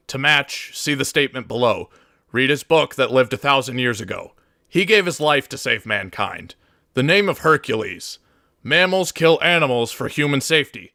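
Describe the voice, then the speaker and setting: Bright, creaky man reading scripted monologues. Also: mid-range voice, no room sound, studio quality.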